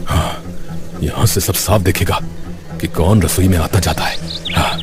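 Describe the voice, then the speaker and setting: A man playing a jealous, scheming village shopkeeper who grumbles resentfully in an animated story. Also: low voice, measured pace. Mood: sly, muttering. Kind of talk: Hindi animated moral story voice acting. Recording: music behind the voice.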